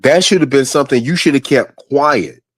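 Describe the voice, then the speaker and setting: A man's voice: mid-range voice, moderate pace, fairly bright tone, breathy edge, no room sound, studio quality. A man hosting a livestream, delivering a heated, profane rant that accuses and addresses his opponents directly. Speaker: livestream host.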